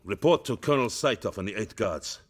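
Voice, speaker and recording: An actor playing a stern, menacing Soviet commanding officer in a dramatic game cutscene, threatening and commanding. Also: male voice, fairly low pitch, expressive, a little fast, slightly rough. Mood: cold, threatening.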